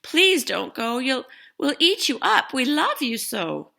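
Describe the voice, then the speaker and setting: Lady doing needy wild storybook monsters, higher pitched, loud n pleading. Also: very animated, slow pace, creaky, exaggerated voice. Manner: loud, imploring cries.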